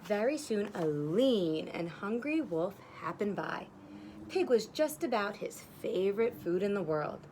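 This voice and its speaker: A woman with a teacher's simple, explanatory delivery reads a picture book aloud to young children. Her pitch is average, and she is outdoors on a porch with faint ambient noise. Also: sing-song, a little slow, darkish tone. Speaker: kindergarten teacher.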